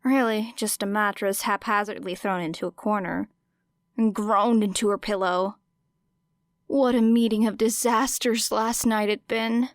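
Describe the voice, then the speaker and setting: A woman reading fiction aloud as an audiobook narrator, in an expressive storytelling style. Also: mid-range voice, unhurried, no room sound, studio quality. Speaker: audiobook reader of fan fiction.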